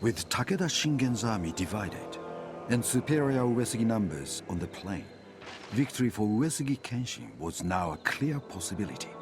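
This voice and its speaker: Solemn male chronicler of samurai wars, low-pitched, scripted game cutscene narration over prominent music. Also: very animated, normal speed. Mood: solemn, measured. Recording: music under the voice. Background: loud background music.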